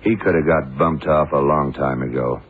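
A man's voice: very deep voice, fairly even intonation, moderate pace. A man playing a brusque, stoic, unsentimental blind detective in an old radio drama. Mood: matter-of-fact. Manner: curt, hard-boiled. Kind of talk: 1960s-70s radio drama acting. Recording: tinny, hissy old radio broadcast.

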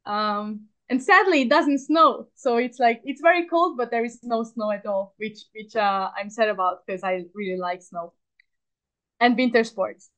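Chatty young woman, high voice. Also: expressive, normal speed, fairly bright tone. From Hungary. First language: Hungarian. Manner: hesitant, many fillers and restarts, upbeat. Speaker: athlete guest. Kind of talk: casual interview chat.

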